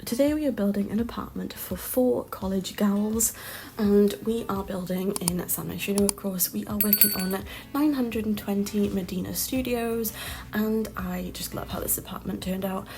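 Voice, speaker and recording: A woman's voice: mid-range voice, moderate pace, rough recording. A woman chatting casually in a voiceover over her game build, slightly nasal from hay fever, with lots of ums and little laughs. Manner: chatty, rambling, frequent ums and soft laughs. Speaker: Sims builder and content creator. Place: home room with street traffic outside. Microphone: home voiceover mic.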